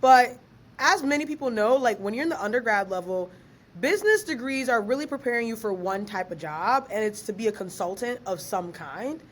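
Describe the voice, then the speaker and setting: A fairly high-voiced young woman talks with a podcast host. She is lively and slangy and laughs often. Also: fairly quick. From Ghana, raised in Maryland. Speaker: guest, author and researcher. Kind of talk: casual podcast interview.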